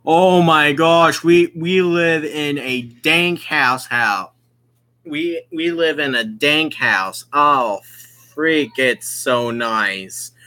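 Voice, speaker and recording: A young man casually commenting on a visual novel and reading its text aloud, slangy and excitable. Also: fairly high pitch, extremely slow. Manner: slangy, excitable, exclaims often. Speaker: let's play gamer.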